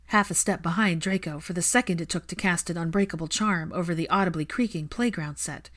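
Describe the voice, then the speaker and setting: A woman narrates fiction from a script. Her voice sits a little low for a woman, in the style of an audiobook reading. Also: fairly quick.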